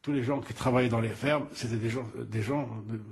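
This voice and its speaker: A man in his seventies from Algeria, an artist recalling the past in halting speech that often repeats words. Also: medium pitch, fairly even intonation, creaky, slightly rough. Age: 70s. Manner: halting, repeats words while searching for them. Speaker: interviewed artist. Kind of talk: casual recollection in a news report.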